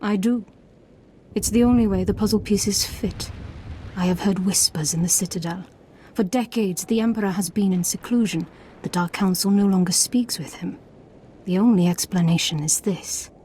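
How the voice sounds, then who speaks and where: An actress playing a secretive, calmly commanding female cult leader in a video game, solemn and measured. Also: medium pitch, a little hiss or hum, close to an everyday voice. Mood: conspiratorial, commanding.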